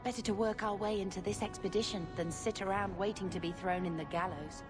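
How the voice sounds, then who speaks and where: An earnest, practical young woman, voiced by an actress at a medium pitch. Loud game music plays under her. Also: fairly even intonation, a little fast, natural voice. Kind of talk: video game voice acting.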